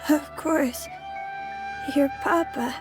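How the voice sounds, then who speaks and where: A woman's voice: sits high, drawn-out words, over music. A woman voicing a timid, bewildered young boy in a video game, speaking in a hesitant, vulnerable, childlike way. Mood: groggy, hesitant. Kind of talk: dramatic video game voice acting.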